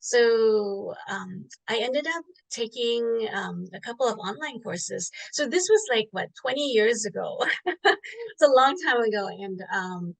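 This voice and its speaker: A woman's voice: a little high, animated, a little slow, gravelly, low-quality recording. A middle-aged woman author from Singapore, telling her story in an interview with many fillers and restarts. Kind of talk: interview answer, casual storytelling.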